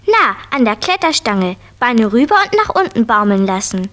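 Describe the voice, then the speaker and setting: A woman's voice: a little high, very animated, moderate pace. An actress playing a curious, eager four-year-old girl in a 1970s children's radio play, frank and childlike. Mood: curious, delighted. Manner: bright-eyed, childlike, frank. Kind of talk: 1970s children's audio drama acting.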